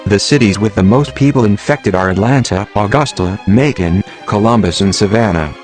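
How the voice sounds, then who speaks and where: A man's voice: lowish voice, darkish tone. A man delivering a scripted television news report as an anchor.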